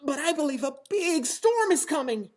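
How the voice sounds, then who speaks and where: A man's voice: extremely high pitch, big pitch swings, moderate pace, voice pushed up. A man voicing a small, bookish buccaneer bunny in a storybook, earnest and polite. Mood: anxious.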